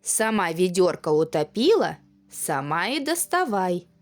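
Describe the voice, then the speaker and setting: A woman's voice: fairly low pitch, very animated, unhurried. Storybook role: stern scolding nanny, played by a female reader, cross and unbending, faint music behind.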